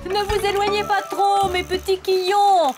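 Very high, motherly toy skittle. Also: little pitch movement, measured pace. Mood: caring. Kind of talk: children's cartoon dub. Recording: music behind the voice.

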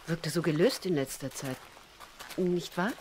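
A woman's voice: on the low side, unhurried, darkish tone, lightly acted. Female actor for a gracious society lady in mourning, radio drama, polite and formal, faint noise behind. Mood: courteous, sad. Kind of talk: German radio drama acting.

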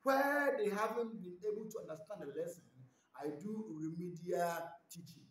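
A man's voice: high voice, normal speed. A man in his thirties from Ghana with a Ghanaian English accent, introducing himself as a teacher in an earnest, emphatic way. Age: 30s. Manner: earnest, emphatic, listing repetitively. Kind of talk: self-introduction video for job applications. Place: large indoor hall with stacked chairs. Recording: echoey room.

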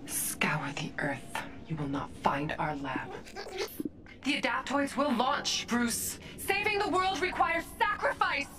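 A woman's voice: high-pitched, sing-song, slow pace, over music, heavily acted. An actress playing a menacing, fanatical female scientist villain in a game, taunting and theatrical. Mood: menacing, grandiose. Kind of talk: dramatic game villain acting. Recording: distant, very noisy footage playback.

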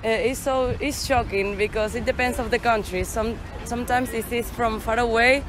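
A woman's voice: on the high side, steady tone, moderate pace. A woman in her twenties from the Canary Islands, a native Spanish speaker, answering cheerfully and laughing easily. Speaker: interviewee. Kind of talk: street interview answers. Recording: outdoor street noise.

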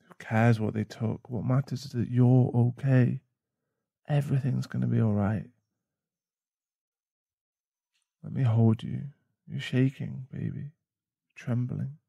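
Man acting a gravelly, mellow protective boyfriend. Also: mid-range voice, dry recording, lo-fi sound. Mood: tender, reassuring. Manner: soothing, tender, breathy reassurance. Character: protective, tender male werewolf boyfriend. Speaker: ASMR boyfriend roleplay performer. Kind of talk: ASMR boyfriend roleplay acting.

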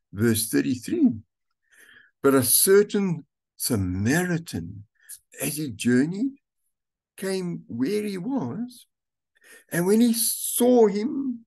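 An older man, a pastor, reading scripture aloud and preaching a sermon slowly and deliberately from a room at home. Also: a little high, a little mellow. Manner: slow, deliberate reading with stretched words. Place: home room with curtains, indoors.

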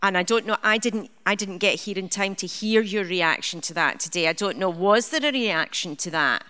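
A woman's voice: mid-range voice, moderate pace, bright, forceful tone. A woman speaking as assistant director on a live theatre panel, chatty and often restarting her sentences. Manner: chatty, with frequent restarts and fillers. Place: theatre stage. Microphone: handheld mic.

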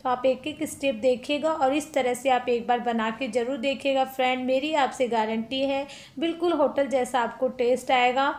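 Lady with a high voice walking thru a recipe at her stove, chatty, talks to viewers like friends. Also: fairly even intonation, normal speed, hardly any pauses, a little mellow. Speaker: cooking channel host. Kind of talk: friendly step-by-step recipe narration. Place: home kitchen at the stove.

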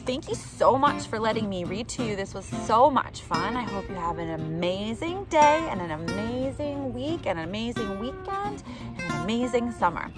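Animated woman reading to children outdoors. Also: a little high, moderate pace, music in the background. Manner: animated, addressing young children directly. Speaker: children's storytime reader. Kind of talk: expressive picture-book read-aloud for children. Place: outdoors in leafy woods. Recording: outdoor ambient noise.

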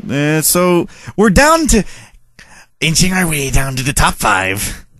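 A man's voice: fairly high pitch, huge pitch swings, slow pace, fairly bright tone, no echo, studio quality. A man hosting a radio show in casual chat, teasing and mocking. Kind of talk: casual chat, reacting to listener voicemails.

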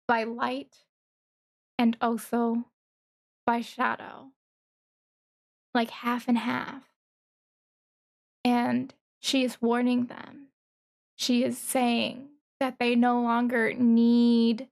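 A woman with a fairly high voice reacts to a game trailer as a streamer. She speaks very slowly, pausing mid-phrase, with an awestruck, gushing manner. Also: gravelly.

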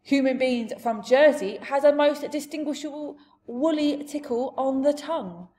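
A woman's voice: high-pitched, normal speed, dark tone, pitched above the performer's own voice, close to an everyday voice. A woman voicing a big, friendly, blustering male giant from a storybook, speaking emphatically in jumbled grammar and made-up words. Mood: earnest. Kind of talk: storybook character voice.

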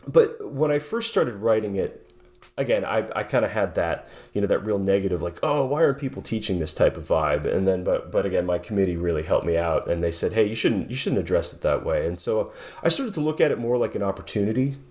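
Guest bassist, forties, lowish voice, thoughtful and halting, home video-call sound. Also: male voice, expressive, a little mellow. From southeastern New York. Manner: thoughtful, many restarts and fillers. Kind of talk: casual interview. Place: home room with guitars on wall.

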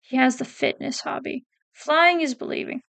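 High, gravelly-voiced woman chatting casually. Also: steady tone, normal speed, a bit bright, no room sound. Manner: chatty, hesitant, self-correcting, reads quotes aloud. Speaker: PNGtuber ranking game characters. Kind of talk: casual commentary while reading a wiki.

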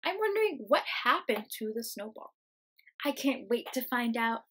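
High-voiced woman reading to children. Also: moderate pace, husky. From United States. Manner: expressive, addresses young children, explains pictures simply. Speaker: early childhood teacher. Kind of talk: children's storybook read-aloud. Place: small room at home, indoors.

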